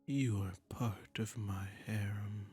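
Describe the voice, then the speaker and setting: Man voicing a slow, silky, imperious demon prince. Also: lowish voice, steady tone, warm tone, soft background music. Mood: possessive, indulgent. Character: seductive, commanding male demon prince claiming a captive singer. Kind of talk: romantic roleplay audio drama.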